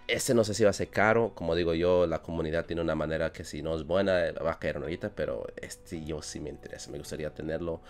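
Deep-voiced man with an English accent in his Spanish. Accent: English-speaking learner of Spanish. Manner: chatty, self-deprecating, restarts words, laughs often. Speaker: gaming YouTuber. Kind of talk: casual game commentary. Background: soft background music.

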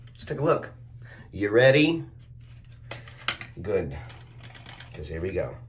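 A young man with a hoarse voice reviewing soap in a casual, laid-back way, recorded on a phone camera at arm's length in a small room at home. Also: mid-range voice. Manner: laid-back, chuckles at his own jokes. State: hoarse, losing his voice. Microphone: phone or webcam at arm's length.

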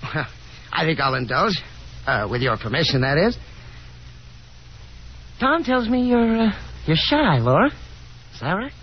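An actor playing an affable young American gentleman caller, easygoing and polite with chuckly hesitance, in a 1950s radio drama. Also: on the high side, sing-song, measured pace, pauses often. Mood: friendly, coaxing. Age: young adult. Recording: muffled, noisy 1950s radio recording.

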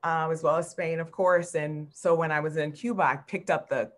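An American woman in her forties giving an informal career talk as a professor, reflective and halting, with emphatic repetitions. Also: sits low, steady tone. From Newark, New Jersey, United States. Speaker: art history professor, guest speaker. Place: indoor room at home, on a video call. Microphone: video call computer microphone.

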